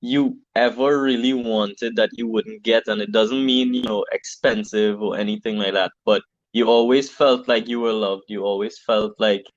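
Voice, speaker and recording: A man from Trinidad, a business founder speaking as a podcast guest in a calm, earnest way. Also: fairly low pitch, moderate pace, few pauses, dry recording. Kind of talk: conversational interview. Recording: dull dry lo-fi sound.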